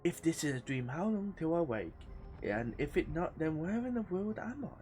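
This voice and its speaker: A woman's voice: deep voice, animated, moderate pace, mellow. A woman giving loose, rambling playthrough commentary as a game streamer, casual and sweary, sometimes reading game text aloud. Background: game music.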